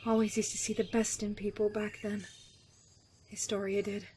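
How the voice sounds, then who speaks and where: A woman playing a guarded, sickly young survivor of cruel experiments in an audio drama, speaking in a wry, measured, confiding way. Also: medium pitch, flat delivery, mellow, a little hiss or hum, lightly acted. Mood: somber, resolute.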